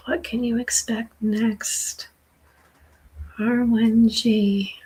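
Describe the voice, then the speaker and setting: A middle-aged woman with a raspy, dry-throated voice, slowly and softly giving a live tarot reading as host. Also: a little high, flat delivery, low-quality recording. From southern New Hampshire. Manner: slow, soft, soothing intuitive reading.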